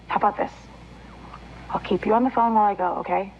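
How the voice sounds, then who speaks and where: A woman's voice: medium pitch, fairly even intonation, fast talker, close to an everyday voice. A woman playing an exasperated, flustered customer on a late-night phone call, casual and rambling. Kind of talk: naturalistic phone call acting. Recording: dull, hissy phone call.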